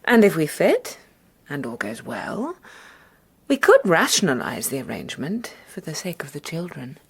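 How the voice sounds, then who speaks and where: Bold, highly expressive Englishwoman. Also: a little hiss or hum, heavily acted. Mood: frank. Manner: brisk, frank, assertive. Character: bold, self-possessed, unconventional woman schoolteacher. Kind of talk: radio drama acting.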